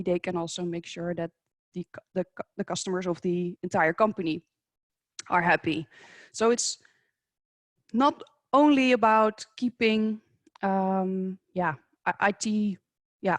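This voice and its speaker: A woman with a Dutch accent presents at an online conference, speaking in a measured, slightly hesitant way and pausing often on fillers. Her voice sits a little low. The sound is dry and close, as on a remote call. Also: dry recording. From Netherlands. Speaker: presenter at a professional webinar. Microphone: remote video call.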